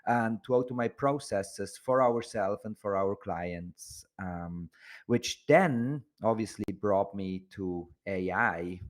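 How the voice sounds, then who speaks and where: A man's voice: lowish voice, takes it slowly. A man from Switzerland, chatting casually as an interview guest. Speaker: podcast guest, agency entrepreneur.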